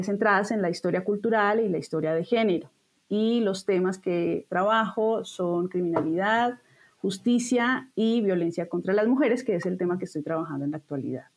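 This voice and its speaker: A woman from Colombia, an academic guest speaking in an interview with a hesitant, pausing delivery. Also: medium pitch, a little mellow. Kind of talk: interview self-introduction.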